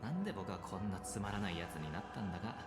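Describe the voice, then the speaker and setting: An actor playing a sardonic young alter ego in a Japanese game, blunt, casual and mocking. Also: male voice, low voice, big pitch swings, rapid-fire. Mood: wry, irritated. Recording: echoey game audio under music. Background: music.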